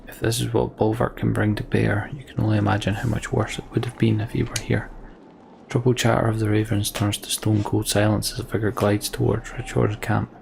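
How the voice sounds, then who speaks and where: A man's voice: lowish voice, little pitch movement, a little fast, vocal fry, a little mellow, slight background noise. A man giving casual let's play commentary on a video game in a dry, offhand, muttering way. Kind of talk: casual gameplay commentary with some read-aloud narration. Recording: game music behind the voice. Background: video game music.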